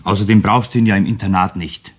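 An actor playing a stern, impatient middle-aged father in a children's radio play, admonishing with a husky voice. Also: fairly low pitch, fairly even intonation, quick pace, close to an everyday voice. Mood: lecturing. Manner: firm, admonishing. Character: stern, impatient father lecturing his young son.

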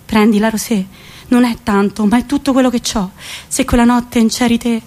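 A woman's voice: a little high, fairly even intonation, normal speed, darkish tone, natural voice. A woman playing an earnest young village man, a secretive lover with a central Italian accent and terse speech, in a theatrical monologue. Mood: humble, grateful.

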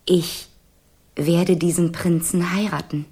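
An actress playing a stubborn, romantic young princess in a radio play, determined and commanding. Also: female voice, on the low side, fairly even intonation, takes it slowly, warm tone, slightly rough, full character voice. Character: headstrong fifteen-year-old princess, stubborn and romantic. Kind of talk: German radio play acting.

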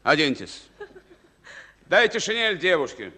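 An actor playing a stern, resolute Red Army captain of about forty in a wartime radio drama, clipped and commanding. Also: male voice, a little high, sing-song, a little slow, bright, forceful tone, exaggerated voice. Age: middle-aged. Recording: vintage radio play, reverberant hall.

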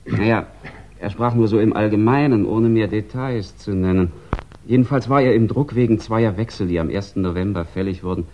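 A man's voice: on the low side, lightly acted. An actor playing a discreet, reluctant businessman giving guarded testimony in a 1960s German radio crime drama. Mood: measured, guarded. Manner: guarded, hesitant, measured. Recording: dull 1960s radio recording.

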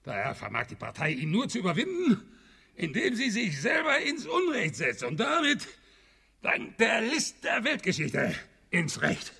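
An actor playing a pedantic old communist revolutionary in a radio play, declaiming in pompous, lecturing slogans. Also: male voice, sits high, huge pitch swings, measured pace, creaky, sharp tone, breathy edge, full character voice. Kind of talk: theatrical German radio play acting.

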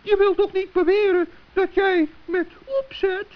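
A very high-voiced little male gnome in a children's radio play. He is earnest, good-hearted and easily flustered. The old recording sounds dull and muffled. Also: steady tone, measured pace. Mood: alarmed. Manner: fretful, earnest, stammering when upset.

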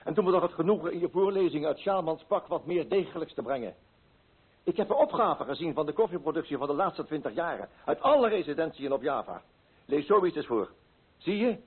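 An actor playing a pompous, self-righteous coffee broker in a radio play, fussy and indignant in manner. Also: male voice, a little high, lively intonation, fairly quick, slightly rough. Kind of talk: Dutch radio play acting. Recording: muffled old radio recording.

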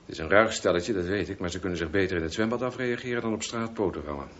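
A man's voice: sits low, fast talker, fairly bright tone. An actor playing a protective, plain-spoken, fatherly pool supervisor in a radio drama. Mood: concerned. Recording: dull, faintly noisy radio play recording.

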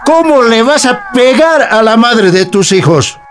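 A man's voice: very high-pitched, big pitch swings, unhurried, music in the background, full character voice. A man acting a decent young nephew in a radio drama, mildly protesting. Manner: mild, protesting. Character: gentle, decent young nephew, pleading and respectful.

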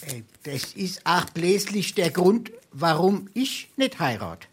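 A woman's voice: on the low side, very animated, slow, slightly rough, exaggerated voice. Woman playing a swooping, grandiose Hessian tavern rogue. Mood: smug. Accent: Hessian, Darmstadt dialect. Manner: grandiose, teasing, mock-formal. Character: male tavern rogue, boastful, cheeky card-playing freeloader. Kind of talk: theatrical dialect comedy.

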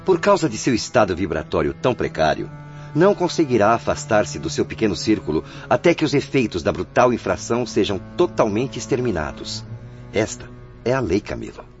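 Solemn Brazilian mentor spirit, didactic, highly inflected radio drama delivery, dull audio with music underneath. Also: male voice, big pitch swings, a little fast. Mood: stern, grave. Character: solemn male spirit guide, grave patient instructor.

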